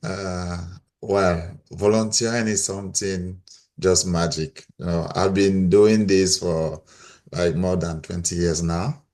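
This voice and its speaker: A man's voice: deep voice, steady tone, takes it slowly, darkish tone. A middle-aged man with a Cameroonian accent, sharing personal stories as a panel guest. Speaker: panel guest, volunteer mentor.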